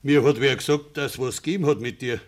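Radio drama farmer , male actor: Bavarian dialect, stubborn, blunt, quick to anger, medium-pitched. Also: natural voice. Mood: angry, demanding. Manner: gruff, blunt, commanding. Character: stubborn, hot-tempered Bavarian farmer, a widowed father.

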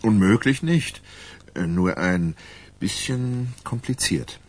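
Radio crime drama: a candid ghostwriter, husky and animated in pitch, measured and careful in reasoning. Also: male voice. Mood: tactful. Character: candid, careful male journalist turned ghostwriter. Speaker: actor.

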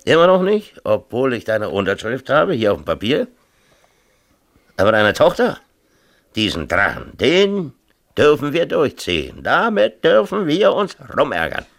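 An actor playing an agitated, self-pitying middle-aged mayor in a radio drama, rambling and insistent in colloquial talk. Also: male voice, mid-range voice, wildly swooping pitch, measured pace, vocal fry, fairly bright tone, heavily acted. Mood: bitter, agitated. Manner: rambling, insistent, peppers talk with tag questions. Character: agitated, self-pitying small-town mayor and exasperated father. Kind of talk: 1970s East German radio drama acting, colloquial dialogue.

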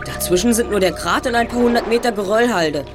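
A woman's voice: fairly high pitch, fast, over music, lightly acted. A woman playing a clever, self-assured boy detective in an audio drama, precise and explanatory.

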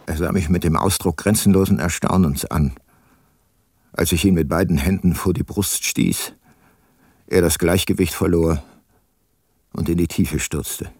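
Radio play lead: grave older detective, lowish pitch, close dry sound, reflective and deliberate. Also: male voice, a little mellow, breathy edge, dry recording, full character voice. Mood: sombre, reflective. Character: brooding older male detective, grave and resolute. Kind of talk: radio drama dialogue and first-person narration.

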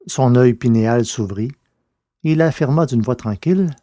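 A man narrating a scripted story in a measured, literary reading style. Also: medium pitch, mellow, no echo, clean studio sound.

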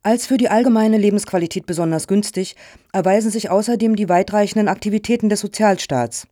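A woman playing a composed, courteous head of a foundation in a satirical radio play, speaking in a formal, measured, officious way. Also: medium pitch, mellow, dry recording, studio quality, close to an everyday voice. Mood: earnest, official.

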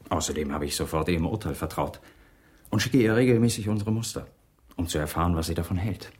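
A man's voice: low voice, fast talker, darkish tone, husky. An actor playing a big, devoted toy maker in his forties in a radio crime drama, earnest and explanatory. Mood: polite, forthcoming. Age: 40s.

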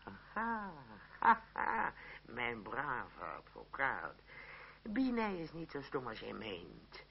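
A man's voice: mid-range voice, wildly swooping pitch, takes it slowly, creaky, a little hiss or hum, exaggerated voice. An actor playing a blustering, scheming father and troupe director in a Dutch radio play, theatrical and hemming. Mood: gloating, scheming. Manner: theatrical, hesitant hemming, wheedling. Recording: dull old radio play recording.

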